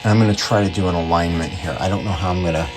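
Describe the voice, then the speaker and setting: A man's voice: sits low, fairly even intonation, rapid-fire, over music. An American man giving casual, unscripted repair commentary in a rambling, offhand way as a hobbyist at his workbench. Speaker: hobbyist repairer presenting radio restoration. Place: indoor workshop at a bench.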